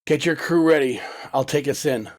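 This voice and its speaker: A man's voice: a little high, normal speed, lightly acted. A man playing a level-headed pilot in a science fiction audio drama, talking in a questioning, matter-of-fact way.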